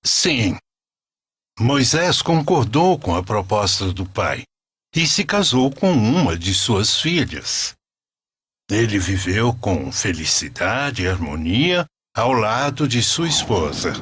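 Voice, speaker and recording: A man narrating a scripted religious story as a storyteller, in an expressive, deliberate way. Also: fairly low pitch, a little hiss or hum.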